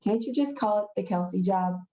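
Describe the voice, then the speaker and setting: A woman's voice: medium pitch, fairly quick, warm tone, reverberant, muffled recording, natural voice. A woman reading the part of a cool, reluctant middle-school boy schemer in a storybook, terse and understated. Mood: dismissive, firm.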